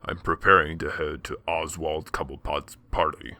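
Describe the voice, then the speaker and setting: A man's voice: extremely low pitch, steady tone, normal speed, pushed and bright, heavily acted. A man playing a grave narrator who sets the scenes of a superhero radio drama in scripted present-tense description. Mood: grave, measured.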